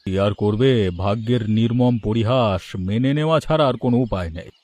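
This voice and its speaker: A man's voice: medium pitch, brisk, a little mellow, no echo, close to an everyday voice. A man playing a stern village moralizer in a cartoon drama, talking in a preachy, admonishing way. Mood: moralizing.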